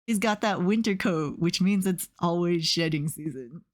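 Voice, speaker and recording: A young woman streaming and chatting casually, telling rambling stories with lots of fillers and soft laughs. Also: lowish voice, moderate pace. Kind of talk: casual chat while drawing on stream.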